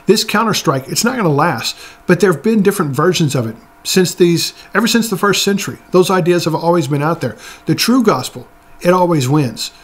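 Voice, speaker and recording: A man's voice: high-pitched, animated, fairly quick, breathy edge. A man in his forties giving an earnest, exhorting Bible teaching to camera in a room lined with bookshelves, often restarting words.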